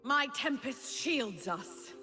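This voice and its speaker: A woman's voice: high voice, lively intonation, sharp tone, faint music behind, exaggerated voice. A woman voicing a serene, commanding wind spirit with a solemn, goddess-like manner in a video game. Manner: stately, solemn, deliberate.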